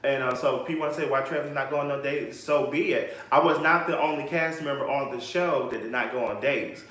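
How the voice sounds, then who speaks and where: A man's voice: medium pitch, fairly quick, fairly bright tone. Blunt young man in an echoey room. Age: 20s. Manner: candid, blunt, swears freely. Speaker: podcast guest. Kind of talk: casual interview chat.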